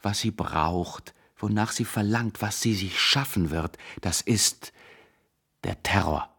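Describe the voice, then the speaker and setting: An actor playing a severe, dogmatic intellectual zealot, a professor who lectures in cutting absolutes, in a radio drama. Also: male voice, on the low side, slow pace, a bit bright, breathy edge, heavily acted. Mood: fanatical, insistent. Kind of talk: German radio drama acting.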